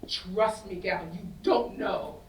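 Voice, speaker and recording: A woman's voice: medium pitch, slow, lightly acted. A woman playing a worldly, cynical, hard-bitten Creole woman in a staged play reading, speaking bluntly and knowingly in vernacular. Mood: urging, blunt. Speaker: student actor in a staged reading. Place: indoor festival staged reading. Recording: echoey room, distant mic, faint noise.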